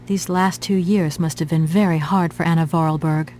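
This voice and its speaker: A woman's voice: deep voice, fairly even intonation, moderate pace, darkish tone, slight background noise, natural voice. An actress voicing a polite, bemused young professional woman in an adventure game, speaking in a thoughtful, conversational way. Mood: dismayed, musing.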